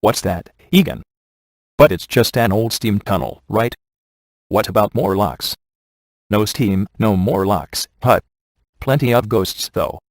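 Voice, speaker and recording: An actor playing a sardonic, laid-back male ghost hunter in a video game, delivering wry scripted quips in a casual deadpan manner. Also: fairly low pitch, measured pace, pauses often, no echo, studio quality. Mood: dry, teasing. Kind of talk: scripted game dialogue, dry wisecracks.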